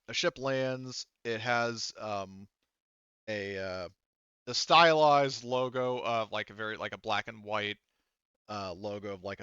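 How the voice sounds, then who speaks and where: A man improvising narration as a tabletop game master, casual and halting as he thinks aloud. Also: on the low side, fairly bright tone, smooth, no room sound, highs cut off.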